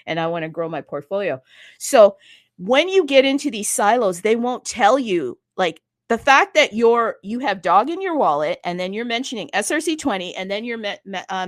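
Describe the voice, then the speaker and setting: An excitable woman hosting an online talk, her delivery bubbly and bursting with energy. Her voice is mid-pitched for a woman. It sounds close and dry, with no room around it. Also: dry recording. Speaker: host. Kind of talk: casual crypto chat.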